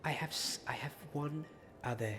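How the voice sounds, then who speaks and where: A man doing ASMR fantasy roleplay, soft and often slipping into a whisper, speaking gently and hesitantly to the listener. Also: on the high side, moderate pace, a little hiss or hum. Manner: gentle, hesitant, repeats himself, addresses the listener.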